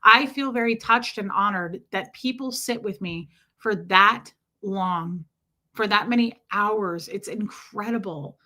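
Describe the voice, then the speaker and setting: A woman hosting a casual livestream, chatting warmly and greeting viewers by name as she answers their comments. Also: medium pitch, measured pace. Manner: chatty and warm toward viewers, many fillers. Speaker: livestream host.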